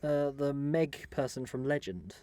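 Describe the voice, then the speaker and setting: A man's voice: medium pitch, lively intonation, a little slow, dark tone, dry recording. A man co-hosting a podcast in a casual, conversational film discussion. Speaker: podcast co-host.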